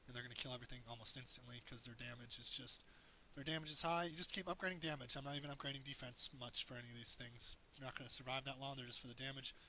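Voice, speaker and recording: A man with a hoarse voice narrates his own strategy game match, walking through tips in a loose, rambling way. His tone is bright and forward, with a light hiss under the recording. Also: mid-range voice, flat delivery, very fast, hardly any pauses, rough recording. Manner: explains as he plays, loose and rambling. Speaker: gameplay commentator. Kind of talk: casual game tutorial commentary.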